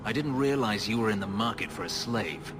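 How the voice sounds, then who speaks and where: A man playing a low, brooding, vengeful elf warrior. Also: a little fast, natural voice. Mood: dry. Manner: terse, grave, simmering with anger. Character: brooding, bitter escaped elf slave warrior, grimly vengeful. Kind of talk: dramatic video game voice acting. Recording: reverberant hall sound with background noise.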